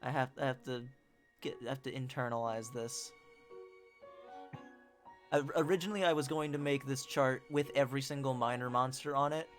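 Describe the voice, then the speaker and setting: A man's voice: mid-range voice, normal speed, pauses often. A man hosting a game stream, chatting casually and reading out narration, with music behind him.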